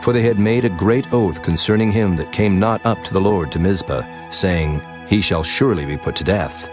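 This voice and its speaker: Man, low-pitched, solemn scripture narration, measured formal delivery, prominent music bed. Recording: music laid under the voice.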